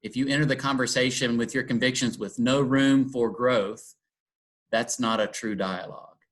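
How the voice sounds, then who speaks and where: A man's voice: medium pitch, steady tone, normal speed. Thoughtful man from Texas, reflective talk. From Texas, USA. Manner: thoughtful, many ums and restarts. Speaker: interfaith dialogue guest. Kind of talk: reflective conversation.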